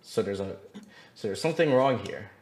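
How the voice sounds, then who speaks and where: A man's voice: fairly low pitch, expressive, brisk, a little mellow. A man talking casually with other players during an online game, as one of the players. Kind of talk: casual group game chat.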